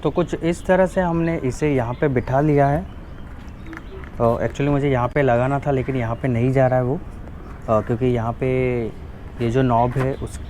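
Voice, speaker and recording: A man's voice: mid-range voice, darkish tone, a little hiss or hum. A man with an Indian accent giving a casual, informal hands-on product walkthrough, mixing in English phrases. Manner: informal, switches between Hindi and English. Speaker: motovlogger presenting a product. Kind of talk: casual unboxing and installation walkthrough.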